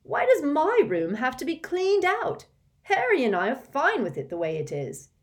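A woman voicing an angry, sulky teenage boy wizard who talks loudly and indignantly. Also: high voice, huge pitch swings, moderate pace, pitched above the performer's own voice. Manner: indignant, loud.

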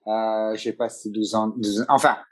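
A middle-aged man, a photographer talking as a guest in a relaxed interview, hesitating and restarting often. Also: fairly low pitch, moderate pace, breathy edge. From Italy. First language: Italian. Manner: hesitant, many restarts and fillers. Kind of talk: casual conversational interview.

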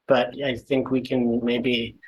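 Male discussion participant, average pitch, conversational and a little tentative. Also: monotone, slow, rough recording. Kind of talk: casual group discussion.

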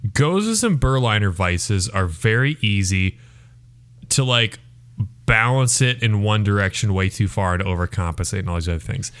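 A man's voice: on the low side, animated, normal speed, a bit bright. A man reviewing drinks in casual chat, talking in a wordy, analytical and descriptive way. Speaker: beer reviewer and show regular.

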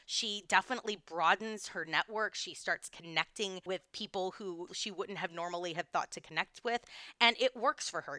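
A woman from Texas co-hosting a history podcast, telling the story in a lively, explanatory way. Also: mid-range voice, clean tone, no room sound, clean studio sound. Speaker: podcast co-host.

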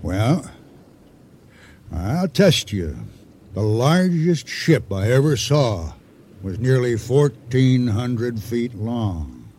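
Scheming seafaring hijacker, smooth dark tone, slow deliberate speech, boastful, insinuating, radio play. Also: male voice, mid-range voice, sing-song, a little hiss or hum. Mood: grandly confident. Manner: slow, deliberate, insinuating delivery with dramatic pauses. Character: boastful, scheming male sea-adventurer turned ship hijacker, silkily persuasive. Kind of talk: American radio drama acting, 1980.

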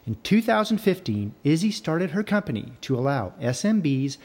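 A man's voice: fairly high pitch, normal speed. A man hosting a podcast, reading a sponsor ad and a guest introduction, then asking interview questions. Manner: scripted and even when reading, plain and direct when asking. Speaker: podcast host.

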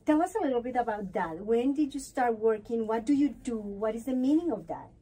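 A woman's voice: fairly high pitch, animated, a little fast, mellow, husky. A woman hosting a conversational podcast interview, effusive and encouraging, switching between English and Spanish. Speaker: podcast host interviewing a guest.

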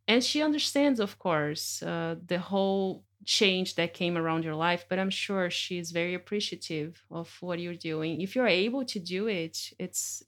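A woman photographer hosting a podcast interview in her studio, speaking gently and effusively with many fillers. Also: lowish voice. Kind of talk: warm conversational interview.

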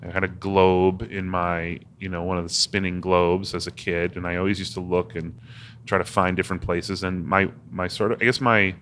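A man's voice: deep voice, little pitch movement, moderate pace, a bit bright, slight background noise. A man from Massachusetts, a guest in an interview, talking casually and rambling with many restarts and fillers. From Massachusetts, USA. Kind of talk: casual conversation.

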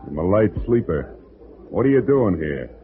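Low, blunt radio gangster thug. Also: male voice, very animated, moderate pace. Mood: menacing. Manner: blunt, threatening, uneducated slang. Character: menacing, slangy male gangster thug with a gun. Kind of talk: 1940s radio crime drama acting. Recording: noisy, tinny 1940s radio recording.

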